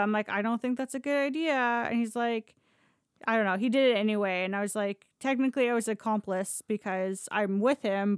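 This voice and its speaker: A woman's voice: medium pitch, no room sound, studio quality. A Canadian woman telling stories casually on a podcast, candid and sweary, often acting out dialogue as she goes. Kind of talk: casual conversational storytelling.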